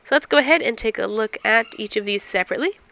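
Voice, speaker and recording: A woman's voice: fairly high pitch, quick pace, bright, forceful tone. A woman instructor explaining math step by step, asking and answering her own questions, while getting over a cold. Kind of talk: recorded math lecture.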